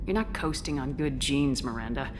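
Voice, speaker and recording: A woman playing a steady, supportive military commander in a video game, direct and probing in how she talks. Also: rumbling low, normal speed, noisy background.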